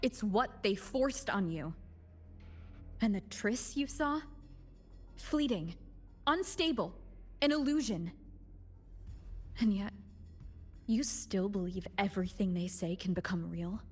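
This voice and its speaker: An actress playing a firm young heroine in a video game, speaking earnestly and with stern emphasis. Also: female voice, mid-range voice, expressive, slow pace, pauses often, darkish tone, soft background music. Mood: grave, insistent. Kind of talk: dramatic video game voice acting.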